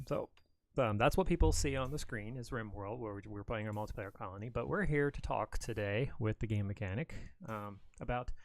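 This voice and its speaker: A man's voice: fairly low pitch, brisk. A man hosting a casual livestream, friendly and joking as he leads the conversation. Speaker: stream host.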